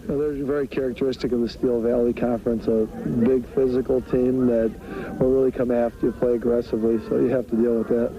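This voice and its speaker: A man's voice: medium pitch, level tone, quick pace, highs cut off, over music. A man who coaches football, answering interview questions in a matter-of-fact way. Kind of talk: post-game interview.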